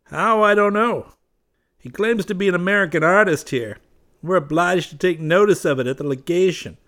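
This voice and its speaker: A man voicing a grave middle-aged male diplomat who talks in urgent, confiding tones, higher and louder than his narration. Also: moderate pace.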